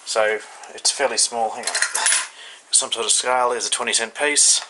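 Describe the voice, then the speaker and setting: A man with an Australian accent giving a casual, unscripted hands-on product review, haltingly thinking aloud. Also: mid-range voice, steady tone. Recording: faint background noise.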